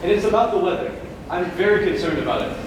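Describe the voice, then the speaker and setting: Guy acting a fretful middle-aged man onstage, swingy high voice, noisy far-off recording. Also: expressive, quick pace. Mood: earnest, worried. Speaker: student actor in a school one-act play. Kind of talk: live stage comedy acting. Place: large hall, recorded far from the stage. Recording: distant, echoey stage recording with loud noise.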